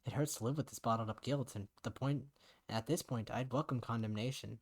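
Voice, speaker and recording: A man's voice: mid-range voice, brisk, close to an everyday voice. A man voicing a guilt-ridden, despairing young man who narrates his own visual novel story, somber and introspective. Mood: guilty, brooding.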